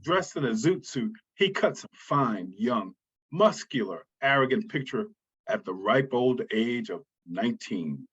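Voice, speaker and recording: Husky man reading stage directions. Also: mid-range voice, lively intonation, measured pace, fairly bright tone, lo-fi sound. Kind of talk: scripted narration.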